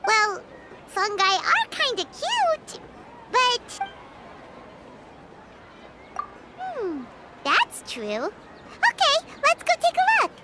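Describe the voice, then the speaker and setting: Game role: tiny cutesy fairy girl, extremely high, lively pitch, bubbly delivery, music behind. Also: takes it slowly, heavy background noise, full character voice. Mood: thoughtful. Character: tiny cheerful childlike fairy girl companion. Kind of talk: video game character acting.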